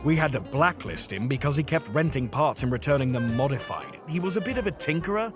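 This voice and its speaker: Audio drama shopkeeper played by a man: British, fussy and suspicious, mid-pitched with lively swoops. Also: brisk, natural voice. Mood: muttering, exasperated. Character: fussy British male camera shop clerk, chatty, suspicious. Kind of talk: scripted audio drama acting. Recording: music behind the voice.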